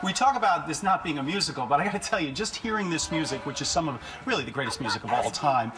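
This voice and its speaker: A man's voice: fairly high pitch, animated, fast. An American man speaking as a TV morning show host, enthusiastic and emphatic, interviewing guests in a studio. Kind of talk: broadcast interview.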